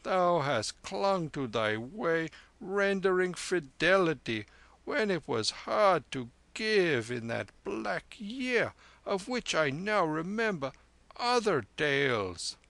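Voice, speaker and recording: Storybook role: elderly lama, performed by a male reader, kindly and solemn, lifted pitch, slow and measured. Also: very animated, vocal fry, fairly bright tone, no echo, full character voice. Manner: slow, archaic, solemn speech.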